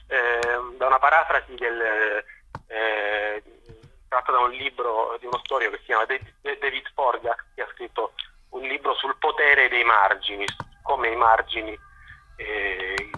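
A man speaks as an interview guest in a husky, very bright and edgy voice. He reasons aloud, hesitating often and restarting words as he looks for the right one. The sound is thin and harsh, like a phone call on the radio. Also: medium pitch. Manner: halting, searching for words with long hesitations and repeated words. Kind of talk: spontaneous explanatory radio interview.

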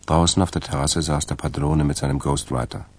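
An actor playing the dry, detached narrator of a radio crime story, reading scripted narration in a gravelly voice. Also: male voice, a bass voice, flat delivery, fairly quick, a little mellow, no echo.

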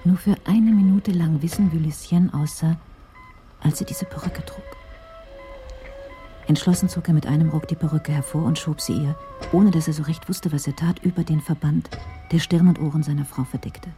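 A woman narrating a radio crime drama in a measured, literary storytelling manner. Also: low voice, steady tone, dark tone, soft background music.

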